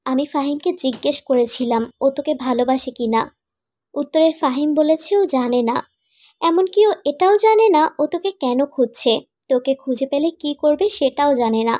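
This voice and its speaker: A woman playing a concerned, questioning young friend in an animated romantic drama. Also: high voice, moderate pace, no room sound, studio quality, close to an everyday voice. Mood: puzzled.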